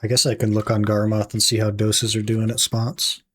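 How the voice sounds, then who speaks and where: A young man streaming a game, his voice gone a little raspy from talking. He muses aloud to his chat in a relaxed way while he plays. Keyboard typing can be heard behind him. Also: lowish voice, moderate pace. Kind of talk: casual gaming livestream chat.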